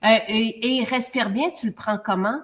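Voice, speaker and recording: A woman's voice: on the high side, moderate pace. A woman with a Québécois accent hosting a casual live show, prompting her guest and reading viewer comments aloud. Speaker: host of a live product show. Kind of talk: casual live-stream chat.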